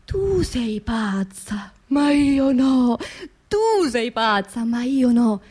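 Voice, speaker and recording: A woman voicing a taunting, treacherous talking bicycle in a radio play, in a slow, repetitive hiss. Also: a little high, very animated, darkish tone, slightly rough, heavily acted. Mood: taunting, eerie. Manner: slow, repetitive, hissing chant. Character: taunting talking bicycle, female, eerie hissing treacherous friend. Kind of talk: theatrical radio monologue.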